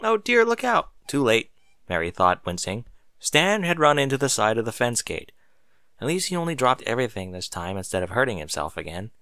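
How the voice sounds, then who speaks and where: Bright, animated male story narrator. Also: on the low side, fairly quick, no echo, clean studio sound. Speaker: audiobook narrator.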